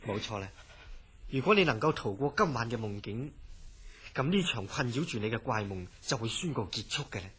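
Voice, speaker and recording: An actor playing a calm, reassuring hospital director in a horror radio drama, measured and husky, with a Hong Kong Cantonese accent. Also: male voice, mid-range voice, close to an everyday voice. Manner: measured, placating.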